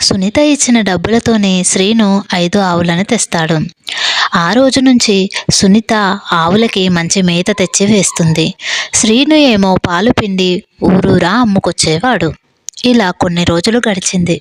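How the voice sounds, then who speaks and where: A woman storyteller narrates a scripted moral tale. Her voice sits at an average female pitch. The recording is very dry, with no room sound. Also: normal speed, no echo.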